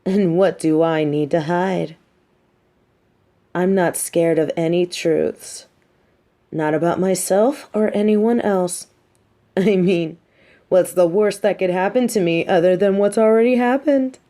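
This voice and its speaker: A woman's voice: fairly low pitch, unhurried, mellow, natural voice. A woman playing a smug, mean but sympathetic supervillain captor in an audio roleplay, talking in a taunting, theatrical, conversational way. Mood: indignant, firm. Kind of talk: roleplay audio drama monologue.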